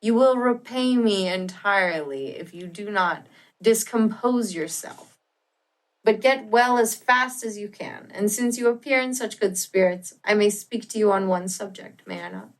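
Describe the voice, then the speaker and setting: A woman voicing a devoted, earnest young gentleman friend in an audiobook, gentle and full of concern. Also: medium pitch, unhurried, lightly acted, poor audio. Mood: gentle reassurance.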